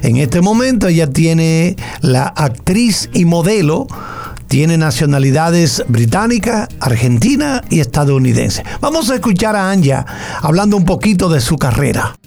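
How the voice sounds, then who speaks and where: A man presenting entertainment news in a relaxed, explanatory way. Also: on the high side, measured pace, creaky. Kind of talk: conversational news report.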